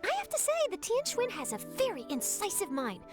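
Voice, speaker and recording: A woman's voice: very high voice, wildly swooping pitch, a little fast, full character voice. A woman voicing an eager, bubbly young reporter in an anime game, enthusiastic and inquisitive. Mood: excited. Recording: soft game music behind.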